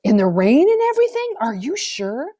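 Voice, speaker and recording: A woman's voice: on the high side, wildly swooping pitch, normal speed, warm tone, no echo, pitched above the performer's own voice. An inquisitive schoolgirl from a storybook, played by a woman reader. She sounds skeptical and keeps pressing for answers, in a dry, close recording. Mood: amazed, curious. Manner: questioning, pressing. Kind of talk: storybook character voice.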